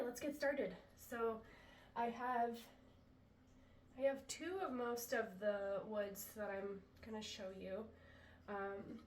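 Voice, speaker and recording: Woman flute maker, stuffy from a cold, relaxed product demo, recorded a bit off-mic in an echoey room. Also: mid-range voice, recorded from a distance, a little hiss or hum. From US Pacific Northwest. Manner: chatty, relaxed, many ums. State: congested after a chest cold. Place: indoor room, talking to camera.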